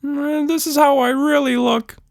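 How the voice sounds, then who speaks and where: A man voicing a tiny, cheeky monster in a squeaky falsetto, playful and whiny, for a children's story. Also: flat delivery, a little slow, gravelly, no room sound, studio quality, full character voice. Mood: shy. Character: tiny cheeky shape-shifting pink monster, silly and shy.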